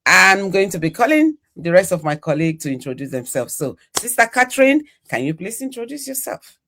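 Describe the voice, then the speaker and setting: A woman's voice: on the low side, sing-song, fairly quick, fairly bright tone. An older woman from Nigeria hosting a live online panel, chatty and full of "you know" fillers. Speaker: podcast host.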